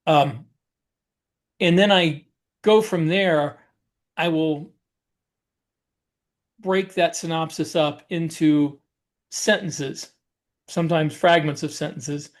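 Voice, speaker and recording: A man co-hosting a writers' podcast, explaining his process in a casual, folksy and deliberate way. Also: a little high. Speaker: podcast co-host and novelist.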